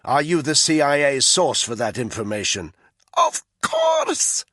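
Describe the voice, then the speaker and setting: A man voicing a frightened female informant, her voice croaking and strained as a rope chokes her. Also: medium pitch, lively intonation, moderate pace, bright, forceful tone, dry recording, studio quality. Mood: desperate. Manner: breathless, pleading, rising to cries.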